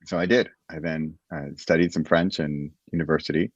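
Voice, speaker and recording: A very low-voiced American man talks through his past in a loose, rambling way, often restarting his sentences. His recording sounds dull and dry, with no room around it. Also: steady tone, normal speed, dry recording. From United States. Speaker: guest. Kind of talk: casual interview chat.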